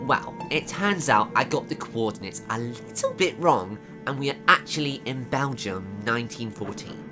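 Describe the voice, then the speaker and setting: A woman playing an eccentric, excitable time traveller in a theatrical sci-fi audio drama. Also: very deep voice, normal speed, music in the background. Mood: urgent. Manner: animated, theatrical.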